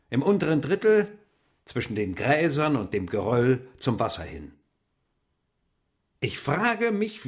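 A man playing a brooding diarist in a radio play, delivering a reflective, poetic monologue in a deliberate manner. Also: medium pitch. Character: brooding, literary male diarist observing the sea.

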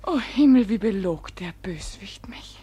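A woman's voice: medium pitch, expressive, normal speed, mellow, husky. An actress playing an honest, distraught young village girl, speaking fervently in a 1950s radio drama. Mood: distressed. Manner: fervent, urgent.

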